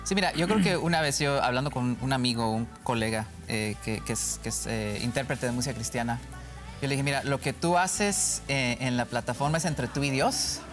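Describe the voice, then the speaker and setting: A man's voice: medium pitch, fairly bright tone. Interview guest, earnest man with light mexican accent, stops and restarts a lot, faint music behind. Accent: slightly Mexican-sounding Spanish. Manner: earnest, halting, repeats words while thinking. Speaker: guest, music company executive. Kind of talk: conversational interview answers.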